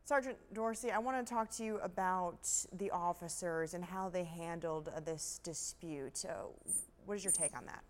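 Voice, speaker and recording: A woman's voice: fairly low pitch, moderate pace. A woman news anchor presenting and interviewing in a broadcast style.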